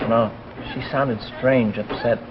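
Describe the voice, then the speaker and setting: A man's voice: mid-range voice, fairly even intonation, takes it slowly, noisy background, close to an everyday voice. An actor playing a reserved, practical male lab assistant in 1950s drama style, terse and hesitant. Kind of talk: 1950s anthology drama acting. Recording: muffled old broadcast under loud music.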